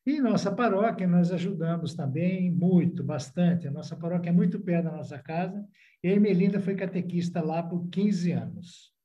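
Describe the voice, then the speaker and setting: A man's voice: high-pitched, normal speed, warm tone, highs cut off. A man in his sixties, born in Italy with Italian as his first language, speaking formally as a podcast guest. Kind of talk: podcast interview.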